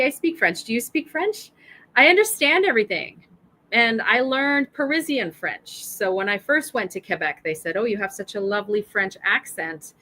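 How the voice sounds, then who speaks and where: A woman's voice: mid-range voice, pushed and bright, low-quality recording. A woman hosting a livestream and running a prize draw, chatting casually and apologetically straight to her viewers.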